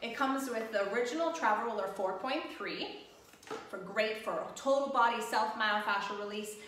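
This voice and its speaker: A woman's voice: fairly high pitch, moderate pace, fairly bright tone, a little hiss or hum. Upbeat woman coaching in an echoey home gym. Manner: encouraging, breezy coaching. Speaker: fitness instructor. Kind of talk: instructional workout voice-over and product demo. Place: home gym room with bare walls. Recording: echoey room, voice from a distance.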